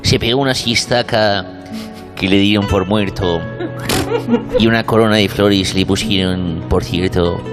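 A man playing a mock-solemn poet, declaiming absurd verse in a grandiose, drawn-out comic recital. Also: fairly low pitch, steady tone, natural voice. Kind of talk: parody poetry recital. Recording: music playing behind.

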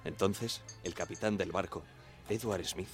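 A sombre ship's radioman, speaks in a low voice. He is measured and deferential, as if testifying in a radio drama, with music at times behind him. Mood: sombre recollection. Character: young deferential ship's wireless operator, sombre survivor.

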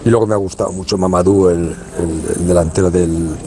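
Male tournament organizer from Spain, deep warm voice, rambling colloquial radio guest. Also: fairly even intonation, fairly quick. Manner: rambling and colloquial, lots of restarts and tag questions. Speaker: youth football tournament organizer. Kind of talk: casual radio interview.

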